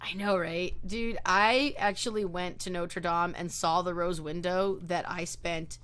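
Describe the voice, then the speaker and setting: Lowish-voiced woman host, loose and rambling, on a video call. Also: fairly even intonation, a little slow, fairly bright tone, clean tone. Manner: chatty, rambling, many fillers and restarts. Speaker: book club host. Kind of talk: casual book discussion over a video call.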